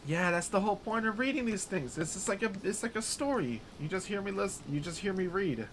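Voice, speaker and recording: A man's voice: high-pitched, expressive, a little fast, a little hiss or hum. A man streaming live, reading a horror visual novel aloud with casual, joking, self-deprecating asides.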